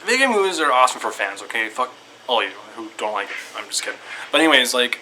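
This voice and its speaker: A man giving casual, rambling opinions to viewers in an excitable fan's manner, often repeating himself. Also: medium pitch, fast, slight background noise, poor audio. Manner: excitable fan talk, repetitive, loosely structured. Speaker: video creator giving opinions.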